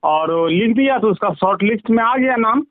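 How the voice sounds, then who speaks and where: A high-voiced man speaks Hindi with a Jharkhandi accent on a muffled, lo-fi phone call. He explains things step by step in a halting way, often repeating his phrases. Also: animated. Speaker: MP's representative, interviewee. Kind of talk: phone conversation explaining a complaint. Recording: dull lo-fi phone call recording.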